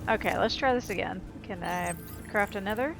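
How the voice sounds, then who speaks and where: A woman's voice: mid-range voice, moderate pace, gravelly. Casual woman gamer commentating over music. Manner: informal, talking to viewers while playing. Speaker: gameplay commentator. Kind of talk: casual video game commentary. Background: game music and sound effects.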